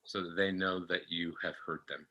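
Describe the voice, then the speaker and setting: A man with a very deep voice and a strikingly bright, forceful edge speaks slowly as a reflective guest. He is halting, repeats phrases and searches for words, and his pitch stays very even. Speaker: podcast guest. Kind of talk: reflective personal discussion.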